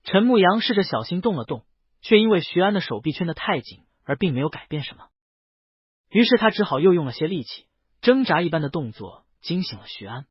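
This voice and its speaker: A man with a fairly high, husky voice narrates a romance audiobook. His pitch moves around in a lively way as he tells the story. The recording is dry, close and slightly dull. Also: brisk, no room sound. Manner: reads character dialogue within the narration. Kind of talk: scripted romance novel narration.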